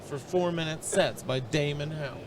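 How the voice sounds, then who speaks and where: Male comic, higher voice, teasing chat, noisy outdoors. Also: normal speed. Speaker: comedian. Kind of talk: casual chat.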